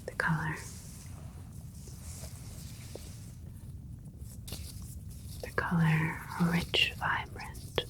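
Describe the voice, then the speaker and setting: A woman playing a gentle, admiring alien scientist doctor in a soothing, clinical whispered ASMR roleplay. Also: low voice, poor audio. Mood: awed, admiring.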